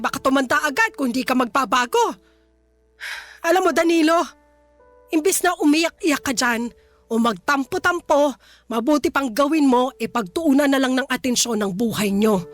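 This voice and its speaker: A woman's voice: extremely high pitch, a bit bright. An actress with a Filipino accent playing a stern, resentful mother of a grown son, scolding and exasperated in radio drama style. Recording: faint music bed.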